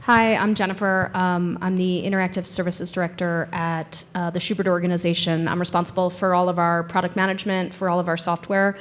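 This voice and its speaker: A woman with a somewhat low voice speaks on a conference panel. She explains her business step by step in a measured way, pausing often with ums. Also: level tone. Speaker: panelist, ticketing company director.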